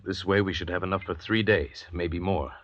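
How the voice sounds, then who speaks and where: A deep-voiced man acts out a cold, arrogant doctor and convict leader in a vintage radio play. He speaks with measured, condescending eloquence, through a dull recording with clear background noise. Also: fairly even intonation, close to an everyday voice. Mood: stern control. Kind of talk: old-time radio drama acting.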